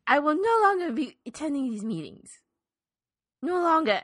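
A woman's voice: high-pitched, big pitch swings, moderate pace, a bit bright, no echo, studio quality. A woman voicing an indignant old woman parishioner in an improvised comedy sketch, stammering, flustered and repeating herself.

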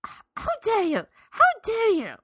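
A woman's voice: extremely high pitch, wildly swooping pitch, slow, a bit bright, dry recording, studio quality, full character voice. A woman voicing an indignant old woman parishioner in an improvised comedy sketch, stammering, flustered and repeating herself. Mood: outraged.

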